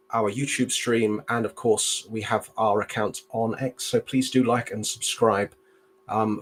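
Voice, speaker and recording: A man hosting a live stream interview, polite and formal, with occasional fillers. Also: lowish voice. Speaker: host.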